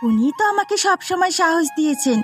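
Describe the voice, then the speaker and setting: Woman voicing a high-pitched, earnest young policewoman. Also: lively intonation, a little fast, soft background music. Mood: earnest, grateful. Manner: respectful, earnest, grateful. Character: devoted grown daughter, earnest young policewoman. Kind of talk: cartoon fairy-tale voice acting.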